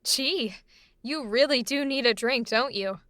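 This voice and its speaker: A woman's voice: fairly high pitch, a little slow, a bit bright, no room sound. A woman acting in an old-time radio drama as a pert, frank young lady with a teasing manner.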